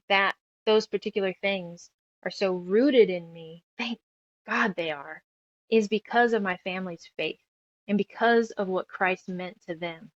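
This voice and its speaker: Interview guest: woman from New Mexico, mid-range voice, earnest and halting, close dry sound. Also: medium pitch, a bit bright, dry recording, highs cut off. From New Mexico, United States. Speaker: interview guest, author and radio show producer. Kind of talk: casual interview conversation, personal reflection.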